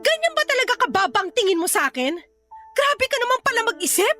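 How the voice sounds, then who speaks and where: High, bright-voiced weary young girlfriend. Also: sing-song, quick pace, soft background music, full character voice. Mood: hurt, exasperated. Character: weary, hurt young working girlfriend who finally relents. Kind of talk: Filipino radio drama acting.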